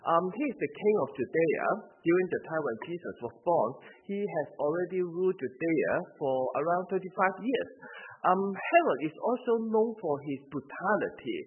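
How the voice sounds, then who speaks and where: A man in his forties with a Hong Kong accent, preaching a sermon as visiting ministry staff, in an earnest, measured way with many "uh" fillers. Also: a little high, expressive. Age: 40s. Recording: tinny phone-like recording with faint noise.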